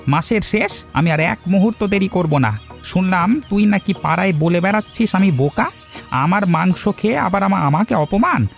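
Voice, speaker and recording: চড়া গলার বদমেজাজি গ্রাম্য কসাই. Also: male voice, high-pitched, lively intonation, moderate pace, gravelly, soft background music. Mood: furious, threatening. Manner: blustering, tags threats with questioning "hyan". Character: hot-tempered, threatening village butcher, quick to take offence. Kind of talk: Bengali cartoon voice acting.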